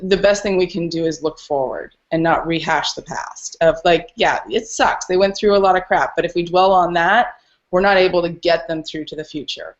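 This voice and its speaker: A woman's voice: lowish voice, normal speed, lo-fi sound. A woman speaking as a dog rescuer and podcast guest, telling stories casually and earnestly. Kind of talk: casual interview storytelling.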